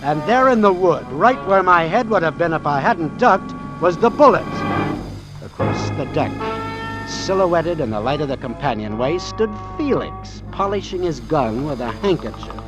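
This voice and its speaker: Wary hard-boiled doctor, animated vintage radio voice. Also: male voice, a little high, moderate pace, low-quality recording. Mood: urgent. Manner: terse, suspenseful. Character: wary, hard-boiled doctor narrating his sea adventure. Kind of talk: old-time radio drama acting and narration. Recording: vintage radio drama with music underneath.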